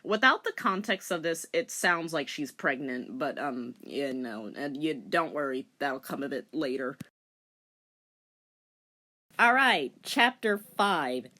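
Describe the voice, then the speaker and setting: Woman, bright voice, animated intonation, sardonic, giggly, casual commentary, comedic story reading. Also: deep voice, slow. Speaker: commentator and reader.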